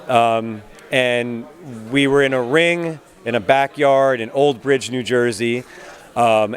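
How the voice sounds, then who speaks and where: A man in his twenties with an American accent and a medium-pitched voice. He chats casually as a wrestler in an interview, cheeky and self-aware. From United States. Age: 20s. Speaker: pro wrestler being interviewed.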